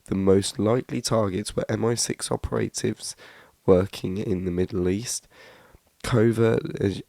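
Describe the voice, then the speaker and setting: A man narrates a documentary script in a deep voice with a gravelly edge. The sound is dry, with no room around it. He trips over words now and then and restarts his phrases. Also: darkish tone, dry recording. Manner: reads a script with frequent slips and restarts.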